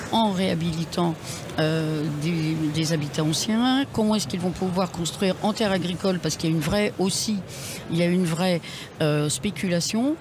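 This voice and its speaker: A woman who is a mayor, answering a radio interview haltingly with many hesitations, at a trade fair stand. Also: on the low side. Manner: hesitant, many ums and restarts. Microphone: table radio mic with headphones. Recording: noisy trade fair hall.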